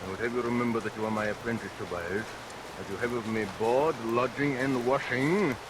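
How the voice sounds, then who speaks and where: Man to play tyrannical demon barber: silky threats, Victorian melodrama, medium pitch, old radio under rain noise. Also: brisk, close to an everyday voice. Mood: oily, domineering. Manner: oily mock-politeness turning to sharp threats. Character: menacing, tyrannical villain barber and master.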